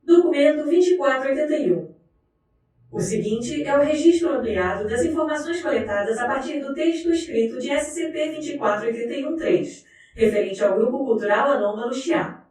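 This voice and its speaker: A woman acting as a formal archive announcer in an audio drama, high-pitched and impersonal. Her voice rings with heavy echo, as if far from the mic. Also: normal speed, darkish tone, natural voice. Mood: formal, detached. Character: formal female archive announcer introducing documents. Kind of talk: scripted announcement. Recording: distant, strongly echoing announcement.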